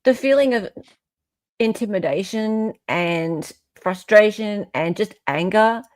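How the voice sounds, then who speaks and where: A woman's voice: medium pitch, slow, a little mellow, dry recording. An Australian woman hosting a live stream in casual, opinionated chat, often checking for agreement as she goes.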